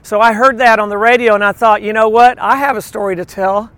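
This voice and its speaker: A man's voice: high voice, brisk, no echo. A man giving an informal, conversational sermon, telling personal stories and acting out little quotes as he teaches. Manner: informal, animated storytelling with acted-out quotes. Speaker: preacher.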